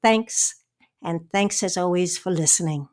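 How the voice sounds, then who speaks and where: A woman's voice: lowish voice, measured pace. A woman hosting a podcast, reading scripted announcements and narration. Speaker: podcast host.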